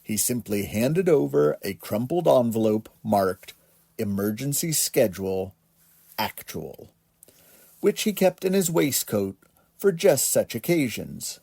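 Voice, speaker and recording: A man narrating a whimsical bedtime story in a gentle, measured manner. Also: mid-range voice, vocal fry, dry recording.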